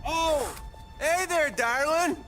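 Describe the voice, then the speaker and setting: Game villain: taunting young American punk, high voice, slow drawl, music behind. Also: male voice, sharp tone, full character voice. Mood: leering, taunting. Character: leering, cocky 1930s street punk. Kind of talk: dramatic game cutscene acting.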